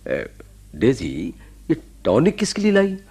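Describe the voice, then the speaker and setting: An actor playing a genial, reassuring, avuncular hospital doctor in a radio drama, with a jovial, expressive manner. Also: male voice, medium pitch, takes it slowly, breathy edge, full character voice. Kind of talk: Hindi radio drama acting.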